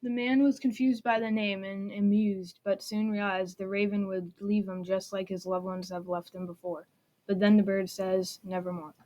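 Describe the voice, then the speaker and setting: A woman's voice: mid-range voice, little pitch movement, moderate pace, dark tone, rough recording. A woman narrator reading a scripted story aloud.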